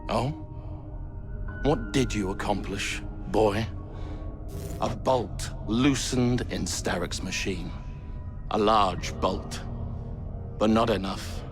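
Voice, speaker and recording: Slow, cold industrialist villain. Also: male voice, fairly low pitch, over music. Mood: contemptuous, menacing. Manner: measured, contemptuous. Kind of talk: video game cutscene acting.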